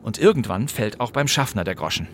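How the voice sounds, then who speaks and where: A man's voice: fairly low pitch, sing-song, brisk, a little hiss or hum. A man hosting a podcast, delivering scripted narration with conversational asides and dry humour. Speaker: podcast host. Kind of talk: scripted narration about old radio crime plays.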